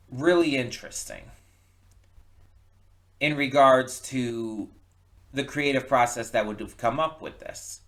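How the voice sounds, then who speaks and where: A man giving casual, unscripted reaction commentary, thinking aloud in a reflective, halting way and often repeating words. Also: mid-range voice, a bit bright. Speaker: reaction video host. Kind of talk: casual unscripted commentary, post-viewing thoughts.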